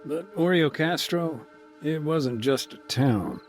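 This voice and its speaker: Weary, reflective aid worker over music. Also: male voice, fairly high pitch. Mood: somber, weary. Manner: measured, hesitant, reflective. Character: weary, earnest male humanitarian aid worker recounting a war. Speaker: humanitarian aid worker being interviewed. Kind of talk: naturalistic video game dialogue, interview recollection.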